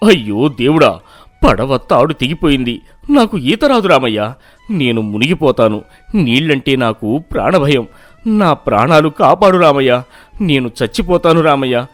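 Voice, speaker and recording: A man voicing a gruff, lordly village landlord. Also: mid-range voice, lively intonation, moderate pace, no echo, close to an everyday voice. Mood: terrified. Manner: gruff, threatening, then gushing. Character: stern proud middle-aged village landlord. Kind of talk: animated storybook dub.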